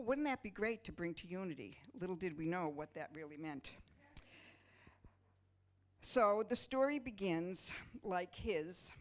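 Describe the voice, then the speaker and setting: Female presenter, lower register, informal spoken introduction for an amateur stage reading. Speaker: presenter introducing a church reader's theater.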